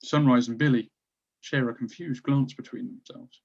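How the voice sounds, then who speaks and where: A man's voice: mid-range voice, moderate pace, vocal fry, husky, lo-fi sound. A man reading stage directions as scripted narration for a screenplay table read.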